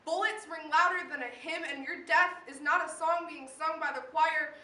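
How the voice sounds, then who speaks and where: A young woman performing spoken word poetry with solemn, impassioned, measured phrasing. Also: sits high, pushed and bright. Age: teen or young adult. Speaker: slam poet. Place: live poetry event in a room. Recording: echoey room, distant mic, faint noise.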